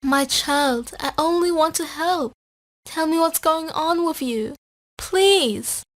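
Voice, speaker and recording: A woman playing a gentle, worried motherly monster queen in an amateur comic dub, speaking with maternal care. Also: sits high, slow. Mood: gentle pleading.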